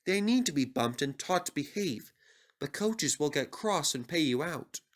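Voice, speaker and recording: A kindly, experienced steam engine, acted by a man talks in a lifted, lively voice. He is careful and earnest, like a seasoned helper in a children's storybook. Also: fairly high pitch, moderate pace, lightly acted. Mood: cautioning. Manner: earnest, advising.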